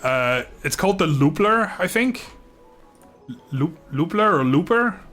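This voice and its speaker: A man's voice: fairly high pitch, expressive, measured pace, fairly bright tone. A man giving casual, rambling, self-deprecating commentary as he livestreams a video game. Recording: music playing behind the voice.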